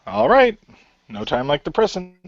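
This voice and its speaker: A man playing a skeptical new recruit to a hero team, talking in a casual, halting way. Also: fairly high pitch, sing-song, no room sound, voice pushed up. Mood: wry, willing. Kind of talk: amateur radio play acting. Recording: dry, slightly muffled home recording.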